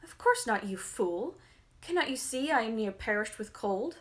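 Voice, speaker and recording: A woman voicing a spoiled, rude young girl in a fairy tale, with shrill indignation. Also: lively intonation, normal speed, close to an everyday voice. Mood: indignant. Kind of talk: storybook fairy-tale reading.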